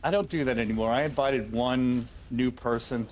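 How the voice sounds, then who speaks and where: A man with an ordinary mid-range voice chats through a game tournament stream, relaxed and quick to poke fun at himself. There is a faint hum of ambience behind him. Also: mid-range voice, low-quality recording. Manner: laid-back, self-mocking humor. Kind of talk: casual livestream game commentary.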